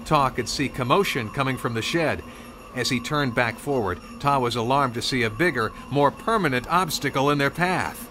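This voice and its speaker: A man's voice: mid-range voice, moderate pace, pushed and bright, slight background noise. A man narrating a scripted story dramatically, with conversational asides. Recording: music under the voice.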